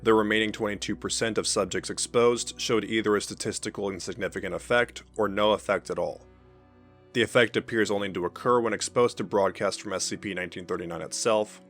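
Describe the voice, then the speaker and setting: Male narrator, lower register, formal measured read of a clinical fiction report, light music bed. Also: fairly bright tone.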